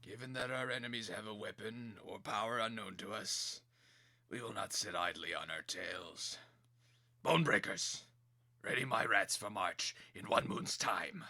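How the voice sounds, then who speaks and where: A man playing a huge, brooding rat warlord in a dramatic reading, commanding, scornful and deliberate, with a rough voice. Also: fairly low pitch, bright, forceful tone, full character voice. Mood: resolved, commanding.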